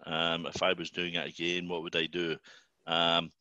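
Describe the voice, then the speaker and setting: A man's voice: low-pitched, completely flat delivery, normal speed, bright, forceful tone, no room sound, highs cut off. An older Scottish man with a Glasgow accent, speaking informally to students as a guest executive, often repeating words as he starts sentences. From Scotland.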